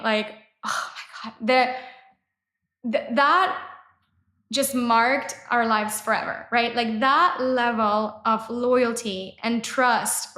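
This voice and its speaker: A woman's voice: a little high, fairly bright tone. Woman from Mexico in an echoey room. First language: Spanish. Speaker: guest, startup founder. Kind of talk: casual interview storytelling. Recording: roomy, echoey sound.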